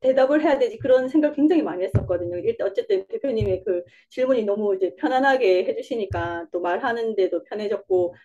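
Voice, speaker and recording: A woman's voice: mid-range voice, quick pace, few pauses, warm tone, dull audio. A young woman with a Busan dialect, answering interview questions casually, rambling a little and laughing often. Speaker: interview guest, coaching student.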